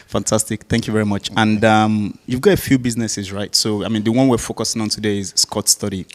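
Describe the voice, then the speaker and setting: A man's voice: lowish voice, flat delivery, a little fast. A man hosting an informal live interview with a guest at a business event.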